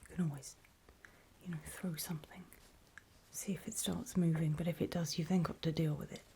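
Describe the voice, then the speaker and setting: A woman playing a weary, wary zombie apocalypse survivor in a halting, breathy whispered ASMR horror roleplay. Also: deep voice, steady tone, a little mellow, slight background noise. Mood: wary, resigned. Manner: halting, hesitant, slow and trailing off.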